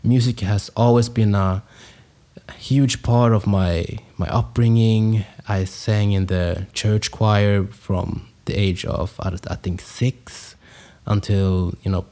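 A young man speaks in a fairly low, mellow voice. He hosts his own podcast casually, wandering through his thoughts with plenty of fillers and self-corrections. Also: slow pace. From Congo, raised in Sweden. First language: Swedish. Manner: relaxed, rambling, many fillers and restarts. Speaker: podcast host, music producer. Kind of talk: casual solo podcast intro.

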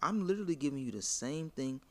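A man's voice: a little high, lively intonation, fast, fairly bright tone. A man arguing his point in a heated casual conversation, blunt and profane.

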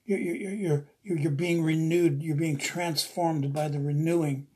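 A preacher from the US East Coast with a husky, slightly rough voice, teaching informally from the Bible in an earnest, rambling way and repeating phrases for emphasis. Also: medium pitch, steady tone, a little slow, darkish tone.

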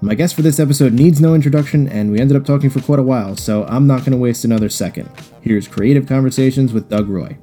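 A man's voice: mid-range voice, quick pace, mellow, echoey room, soft background music. A man hosting a podcast, chatting casually and joking, often laughing as he rambles. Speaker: podcast host.

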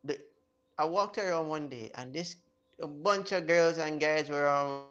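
Young guy telling stories, a bit higher voice, lively. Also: normal speed, highs cut off. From Jamaica. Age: young adult. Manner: animated storyteller, mimics voices. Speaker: guest. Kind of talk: casual interview chat.

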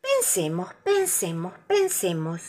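A woman's voice: on the low side, wildly swooping pitch, takes it slowly, darkish tone, full character voice. A woman voicing a grumbling, put-out male bear in a children's storybook. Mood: annoyed, musing.

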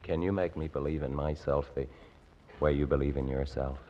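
Deep-voiced, hesitant, earnest young man. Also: fairly even intonation, moderate pace, dark tone, dull audio. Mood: tender, wistful. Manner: hesitant, stammering, repeating words. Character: earnest, self-doubting young man, shy and grateful holiday guest. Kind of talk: old-time radio drama acting. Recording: old radio recording, faint music behind.

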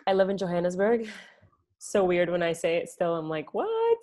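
A woman's voice: lowish voice, huge pitch swings, normal speed, warm tone. A woman in her late twenties, born in Egypt and raised in New York, talking candidly and reflectively as an interview guest, with many "like" fillers. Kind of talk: casual conversation.

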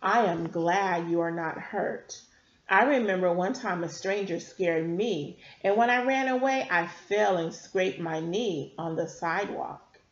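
A woman doing a gentle puppet firefighter. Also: fairly low pitch, measured pace, echoey room, highs cut off, lightly acted.

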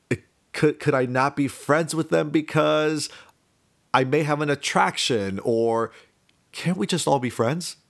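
A man's voice: medium pitch, creaky. A young man from talks casually about himself, thinking aloud in a rambling, hesitant way. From Miami, United States. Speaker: speaker telling about own life. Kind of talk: casual personal monologue.

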